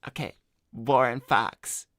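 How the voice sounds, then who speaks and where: A man's voice: mid-range voice, slow pace, no room sound, clean studio sound. A man voicing a cocky, laid-back cartoon hedgehog who chats in slang on an improvised livestream. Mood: casual, sympathetic. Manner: slangy, swearing, deadpan bragging. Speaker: cartoon character co-hosting an advice livestream. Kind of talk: improvised in-character chat, reading donor messages.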